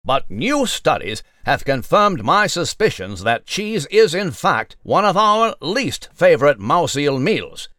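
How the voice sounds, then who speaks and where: An actor playing a pompous, scholarly little mouse delivering a formal, wordy mock news report in a children's audio drama. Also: male voice, fairly high pitch, sing-song, unhurried, few pauses, pushed and bright, no room sound, studio quality, heavily acted. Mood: pompous, earnest.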